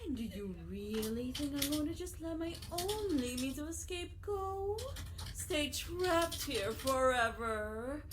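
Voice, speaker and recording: High, slow, haughty villainess. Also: female voice, animated, distant mic, noisy background. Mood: irritated, threatening. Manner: theatrical, condescending, menacing. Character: haughty, threatening villainess holding prisoners in her dream maze. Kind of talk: fan audio drama villain monologue, sometimes jazzy singing. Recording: music playing behind the voice.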